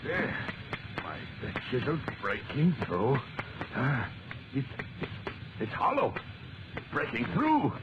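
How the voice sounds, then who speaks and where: An obsessed man at his chisel in an old radio horror play. His halting delivery is slow and swings widely in pitch, repeating words feverishly. The recording is muffled and thick with hiss. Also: wildly swooping pitch. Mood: feverish triumph. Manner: halting, muttering, repeats words, bursts into defiant shouting. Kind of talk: 1940s radio horror acting. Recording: noisy band-limited 1940s radio recording.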